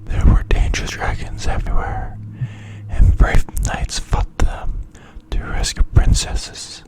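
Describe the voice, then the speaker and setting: A man whispers a bedtime story in ASMR style. His voice is breathy and unvoiced, and he takes it slowly, one hushed phrase at a time. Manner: slow, hushed, phrase-by-phrase delivery.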